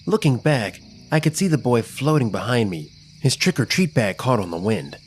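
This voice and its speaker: Expressive man narrating a children's story. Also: medium pitch, normal speed, a little hiss or hum. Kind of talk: scripted first-person children's story narration.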